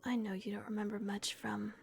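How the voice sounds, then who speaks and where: A woman voicing a gentle, protective female vampire caretaker in a soft, hesitant whisper on a close microphone. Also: mid-range voice, little pitch movement, fairly quick, creaky, slight background noise, lightly acted. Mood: tender, caring. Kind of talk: whispered ASMR roleplay.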